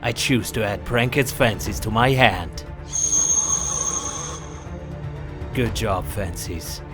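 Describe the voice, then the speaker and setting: Man playing a low-voiced, resolute hero. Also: measured pace, lightly acted. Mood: fond, reassuring. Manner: earnest, resolute. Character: determined, brooding male hero duelist, kind to companions. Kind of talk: fan audio drama acting. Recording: music behind the voice.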